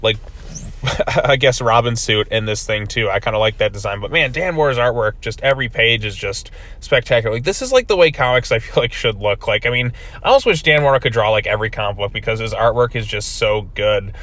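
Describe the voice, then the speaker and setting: A man's voice: mid-range voice, brisk, a bit bright, dry recording. A man giving a casual video review of a comic book, rambling with many fillers, his voice still recovering from being lost.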